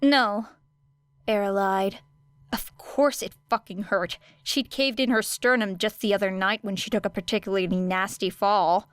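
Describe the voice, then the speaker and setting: A woman's voice: medium pitch, fairly even intonation, moderate pace, no echo. A woman reading scripted story narration as an audiobook narrator.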